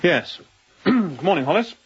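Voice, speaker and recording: An actor playing a young, newly appointed sea captain in a radio drama, clipped, commanding and guarded. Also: male voice, high-pitched, sing-song, measured pace, dull audio. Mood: formal, commanding. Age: young adult.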